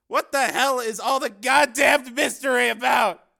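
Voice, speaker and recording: A man's voice: very high voice, a little slow, creaky, bright, forceful tone, shouting, pitched above the performer's own voice, exaggerated voice. A man voicing a nervous, exasperated and sarcastic male TV cameraman in an audiobook. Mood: exasperated protest. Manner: agitated, protesting, sarcastic. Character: nervous, exasperated, sardonic young-sounding male TV news cameraman. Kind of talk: acted audiobook dialogue.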